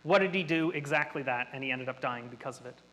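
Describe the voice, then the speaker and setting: A man's voice: medium pitch, fast talker, sharp tone. A man running an interactive workshop, explaining things in a chatty, nerdy way to a room of participants. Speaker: workshop presenter. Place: hall with an audience. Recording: reverberant hall.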